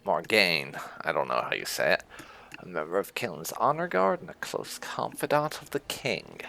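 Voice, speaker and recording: A man's voice: deep voice, sing-song, moderate pace, vocal fry, bright, forceful tone. A man giving casual gameplay commentary as host, with dry, muttered asides. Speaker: gaming channel host.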